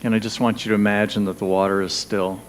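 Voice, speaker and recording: A man leading a guided meditation, speaking gently and soothingly in short, spaced phrases with pauses. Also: fairly low pitch, level tone, slight background noise. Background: background music.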